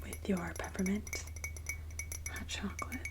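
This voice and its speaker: A woman speaking softly and breathily, often near a whisper, in a gentle, polite ASMR roleplay as a kindly Mrs. Claus. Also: mid-range voice, fairly even intonation, measured pace, over music. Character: kindly Mrs. Claus interviewing a job applicant. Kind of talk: soft-spoken ASMR roleplay interview.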